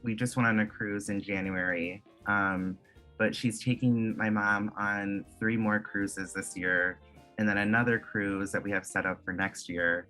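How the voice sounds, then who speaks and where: A young man from Michigan in his twenties, talking in a loose, chatty way and leaning on "like" constantly. His voice sits a bit low but sounds bright and forward. Faint music hums underneath. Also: fairly even intonation, unhurried. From Michigan, USA. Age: 20s. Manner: chatty, lots of "like" fillers. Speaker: guest. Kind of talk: casual interview chat.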